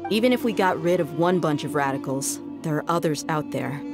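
A woman's voice: sits low, animated, a little fast, over music. An actress playing a tough, easygoing young female mercenary in a video game, casual and cool-headed. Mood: candid.

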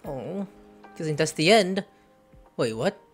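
A man's voice: a little high, expressive, takes it slowly, soft background music. A man streaming a game, reading dialogue aloud and making casual jokey comments. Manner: reads game text aloud, jokey asides. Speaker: gameplay streamer. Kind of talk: casual let's-play commentary.

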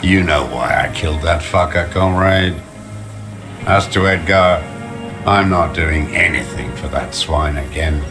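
An actor playing a bitter old communist deserter, slow and contemptuous, in a video game. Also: male voice, sits low. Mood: bitter, defiant. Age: older. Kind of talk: dramatic video game voice acting. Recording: music under the voice.

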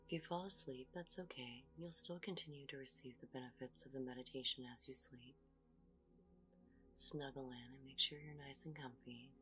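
A woman guiding a meditation in a soft, whispery voice, giving slow, soothing, gentle instructions. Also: extremely low pitch, fairly even intonation, soft background music. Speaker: meditation guide.

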